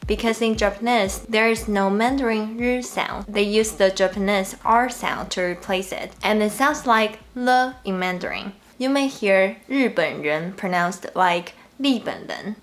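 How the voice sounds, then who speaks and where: A woman from Taiwan with a Taiwanese accent, giving a clearly explained, scripted lesson on pronunciation and slowing down to model example sounds. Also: medium pitch. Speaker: Mandarin teacher.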